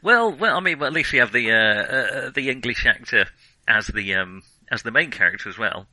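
A man hosting a podcast and casually discussing films, in a halting, hesitant way that often doubles back on itself. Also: medium pitch, expressive, pushed and bright, no echo, poor audio. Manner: halting, hesitant, frequent self-interruptions. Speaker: podcast host.

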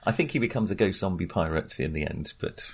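A man's voice: low-pitched, lively intonation, fast talker, slightly rough. A man in a casual film discussion, thinking aloud hesitantly and often correcting himself. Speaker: film podcast host.

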